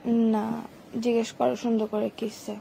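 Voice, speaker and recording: A woman's voice: on the high side, little pitch movement, normal speed, creaky, warm tone, slightly rough, low-quality recording. A young woman with a Bangladeshi Bengali accent, scolding and insistent in a casual phone quarrel. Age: young adult. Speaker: girlfriend in a phone argument.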